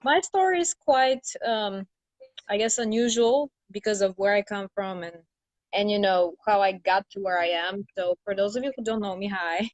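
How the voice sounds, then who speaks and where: A woman's voice: mid-range voice, normal speed, no room sound, rough recording. A woman from Bulgaria, telling her story as an interview guest in a candid, sardonic way, swearing freely. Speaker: interview guest, brand strategist.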